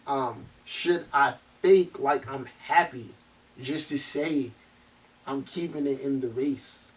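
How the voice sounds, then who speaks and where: A man's voice: medium pitch, slow pace, recorded from a distance, low-quality recording. A man co-hosting a casual group discussion, often breaking in with short agreements. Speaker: podcast co-host.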